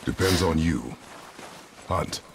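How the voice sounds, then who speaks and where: An actor playing a stern, gruff warrior father in a video game, speaking in terse commands with a deep growl. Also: slow, slight background noise. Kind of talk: video game cutscene acting. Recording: game audio under music.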